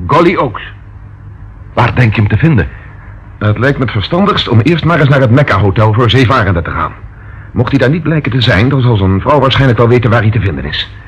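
An actor playing a brave, quick-thinking police detective in a radio drama. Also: male voice, mid-range voice, husky. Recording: dull, noisy old radio drama recording.

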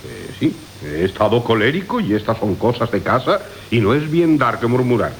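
A man's voice: mid-range voice, very animated, normal speed, natural voice. Man acting a blustering, cowardly husband, wildly swooping voice. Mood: meek backing down. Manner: theatrical, blustering, hesitant. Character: gullible cowardly cuckolded husband, blustering then quickly giving in. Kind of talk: classical Spanish comic radio play acting. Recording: hissy old radio recording.

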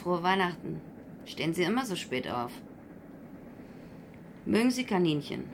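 A woman's voice: fairly low pitch, big pitch swings, unhurried, a little hiss or hum. An actress in a German radio play as a guarded, plain-spoken woman who answers curtly and matter-of-factly. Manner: curt, matter-of-fact.

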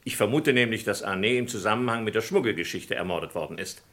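Persistent, courteous investigator for radio mystery, formal and probing, with widely swinging intonation. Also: male voice, on the low side, animated, fast, a bit bright. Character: persistent, polite French male investigator, sharp reasoner. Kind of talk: German radio crime drama acting.

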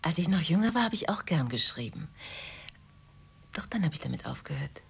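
A woman's voice: sits low, big pitch swings, brisk, vocal fry, slightly rough, heavily acted. Chatty, anxious neighbour woman, swooping intonation. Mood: wistful. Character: chatty, anxious, apologetic middle-class shopkeeper neighbour woman. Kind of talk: radio drama acting.

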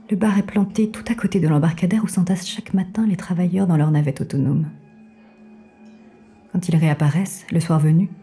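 A woman playing a low, dark-voiced melancholy assistant. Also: lightly acted. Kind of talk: first-person noir audio drama narration.

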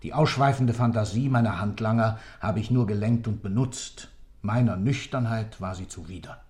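An actor as a cold, pedantic executioner. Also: male voice, lowish voice, normal speed, darkish tone, close to an everyday voice. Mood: cold, self-justifying. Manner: formal, precise, haughty. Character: cold, pedantic male executioner, sober and self-justifying. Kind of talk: German radio drama acting.

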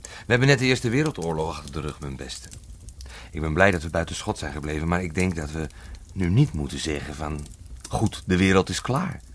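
An actor playing a grave government man in a radio play, measured and earnest. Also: low voice, animated, slight background noise.

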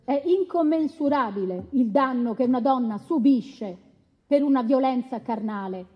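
Indignant woman lawyer, husky, slightly high, radio courtroom drama, formal and pointed. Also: expressive, moderate pace, lo-fi sound. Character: combative female lawyer, indignant and principled.

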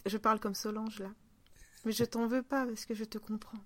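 A woman's voice: a little high, steady tone, brisk, no room sound. A woman hosting a podcast in a casual, informal and playful conversational style. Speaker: podcast host.

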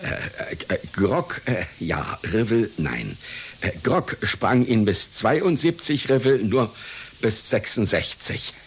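An actor playing a proud, scatterbrained elderly circus clown in a radio satire, speaking pedantically and formally. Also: male voice, fairly low pitch, very animated, slow pace, a little hiss or hum, full character voice. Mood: flustered. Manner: pedantic, formal, easily flustered and stammering. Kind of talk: satirical German radio play acting.

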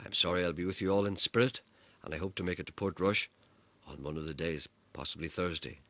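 A middle-aged man hosting a radio show, chatting playfully with deadpan humor and reading out listener messages. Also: sits low, fast, dry recording. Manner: playful deadpan teasing, spins tall tales. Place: radio studio.

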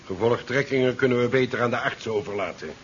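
A man's voice: medium pitch, quick pace, natural voice. Man as curt commander, muffled old radio. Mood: curt, sardonic. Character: curt, sardonic male ship commander worried about career. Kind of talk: 1970s Dutch science fiction radio play acting. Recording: muffled 1970s radio drama recording, faint noise.

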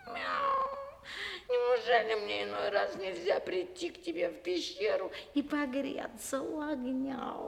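A woman playing a sly, proud, flattering wild cat who meows between coaxing phrases in an audio fairy-tale play. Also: sits high, vocal fry, full character voice. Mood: wheedling, flattering. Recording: long reverb, faint noise.

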